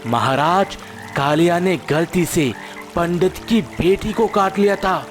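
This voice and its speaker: An actor voicing a loyal, eager frog messenger in a cartoon moral story, respectful and dramatic in manner. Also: male voice, high-pitched. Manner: respectful, dramatic tale-bearing. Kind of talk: animated Hindi moral story dialogue. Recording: soft music behind the voice.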